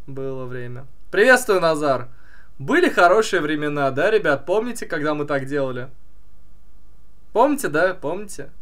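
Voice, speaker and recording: Animated young man streaming, pitch swinging widely. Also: normal speed. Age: young adult. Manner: joking, animated. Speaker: streamer reacting to a video. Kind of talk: casual livestream commentary. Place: small indoor room.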